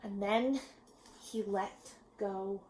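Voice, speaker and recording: Slow-paced woman reading a children's story. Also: mid-range voice, a little hiss or hum, rough recording. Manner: measured, expressive storytelling with pauses. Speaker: storybook reader. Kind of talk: children's read-aloud narration.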